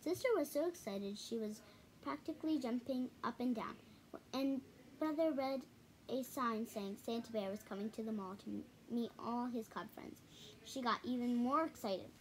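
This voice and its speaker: A young girl of about ten to twelve reading a children's picture book aloud haltingly, with stumbles, repeats and casual asides. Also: fairly high pitch, slight background noise, rough recording. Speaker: storybook reader. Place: small living room at home, indoors.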